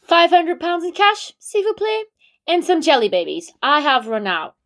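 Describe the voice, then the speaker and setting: A woman's voice: very high voice, big pitch swings, moderate pace, a bit bright, exaggerated voice. A woman playing a cheeky, demanding hired hand in an amateur comedy, pert and matter-of-fact.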